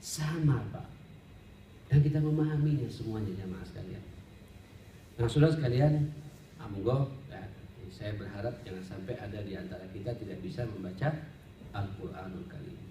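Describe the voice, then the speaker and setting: A man's voice: lowish voice, moderate pace, pauses often, warm tone, dull audio. A man preaching as an Islamic teacher, conversational and didactic, speaking directly to his listeners. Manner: conversational and didactic, often addresses listeners directly, rhetorical questions. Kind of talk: sermon, study-circle lecture with Arabic recitation. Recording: roomy, distant mic, faint background noise.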